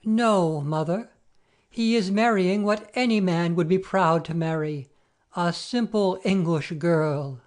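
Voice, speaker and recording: Romantic young nobleman a woman acts him, unhurried, grave and grandly formal, for storybook drama. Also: lowish voice, a little mellow. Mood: dignified, defiant. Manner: solemn, stately, formal. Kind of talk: dramatic audiobook reading.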